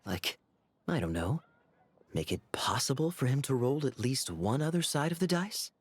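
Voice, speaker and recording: Wry, animated young swordsman. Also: mid-range voice, moderate pace, a little hiss or hum. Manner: sardonic, playful. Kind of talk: video game character dialogue. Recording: faint music behind.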